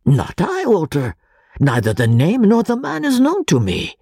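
A narrator voicing an excitable, puzzled little Italian professor with an inquisitive, emphatic way of talking. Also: medium pitch, unhurried, breathy edge, no echo, clean studio sound, pitched above the performer's own voice, exaggerated voice.

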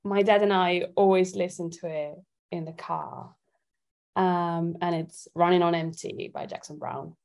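Woman with a gravelly, mellow low voice. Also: steady tone, unhurried. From Italy. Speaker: guest singer-songwriter. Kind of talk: casual interview chat.

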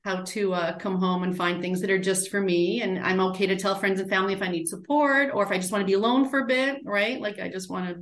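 A woman's voice: mid-range voice, quick pace, hardly any pauses, roomy sound. A middle-aged woman, a guest who talks in a chatty way and often adds "right?" and "like". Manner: chatty, warm, many "right?" and "like" tags. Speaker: guest, end-of-life doula. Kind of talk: casual interview talk.

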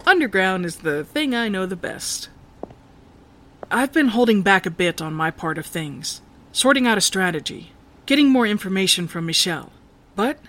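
A strategic moonbase plotter played by a woman in a sci-fi audio drama. The voice sits at a medium pitch, earnest and measured, with a purposeful air. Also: fairly bright tone, natural voice.

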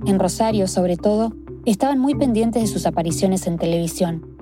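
Woman narrating a radio documentary. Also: medium pitch. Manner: measured, descriptive storytelling. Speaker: reporter and narrator. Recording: clear music bed underneath.

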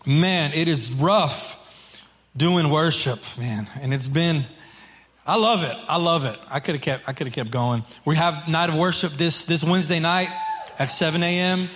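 A man preaching as a pastor to his congregation in an informal, halting way, repeating phrases, with a slightly hoarse voice. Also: fairly high pitch, fairly bright tone. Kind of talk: sermon to a congregation. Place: church service.

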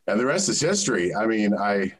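A man in his forties talks as an interview guest. His voice sits on the low side, and he tells his memories haltingly, often stopping to restart his sentences. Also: very animated, slightly rough. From South Central Alaska. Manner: halting, reminiscing, with many restarts. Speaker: guest, sports fan. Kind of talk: conversational interview.

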